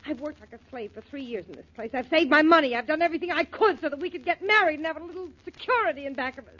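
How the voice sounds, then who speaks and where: An actress with an American accent playing an insistent, hard-working jilted fiancée in a 1940s radio melodrama. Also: female voice, sits high, lively intonation, very fast, fairly bright tone. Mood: hurt, demanding. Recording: muffled old radio recording with hiss.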